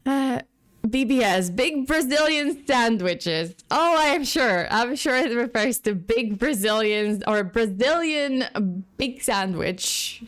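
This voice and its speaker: A woman's voice: fairly high pitch, animated, slow pace. Chatty woman streamer losing her voice. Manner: chatty, rambling, greets viewers often. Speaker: livestream host. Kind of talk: casual livestream chat.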